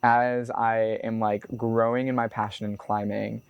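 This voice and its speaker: A man's voice: on the low side, level tone, measured pace, creaky, clear, resonant tone, no echo, dull audio. A man in his twenties talking casually and reflectively in a documentary interview, his speech loose and full of "like" fillers. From United States. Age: 20s. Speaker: botanist and climber being profiled.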